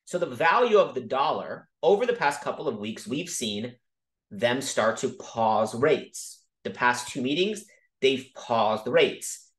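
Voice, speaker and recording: A man casually teaching about markets over a video call, talking quickly and emphatically and often checking in with "okay?". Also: medium pitch, lively intonation. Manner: fast, emphatic, frequent "okay?" check-ins. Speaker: crypto educator hosting a Zoom call. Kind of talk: casual screen-share teaching.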